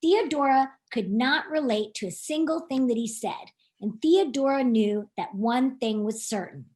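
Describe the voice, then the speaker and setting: A woman reading a children's book aloud in an expressive, playful storytime style, chatting to young listeners as she goes. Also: on the high side, unhurried. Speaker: author reading her own children's book.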